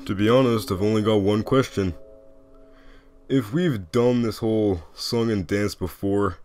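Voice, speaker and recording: A man voicing a lazy, wry skeleton joker with quiet menace in a comic dub, talking in a laconic, folksy way. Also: lowish voice, measured pace, faint music behind, close to an everyday voice. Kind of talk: animated comic dub acting.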